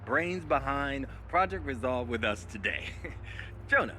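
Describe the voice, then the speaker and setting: Upbeat company promo man, salesy and polished, bright forceful voice, bit noisy. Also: mid-range voice, a little slow, in a large hall. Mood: flattering. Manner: salesmanlike, flattering. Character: slick upbeat male corporate promoter and interviewer. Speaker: corporate spokesman and interviewer.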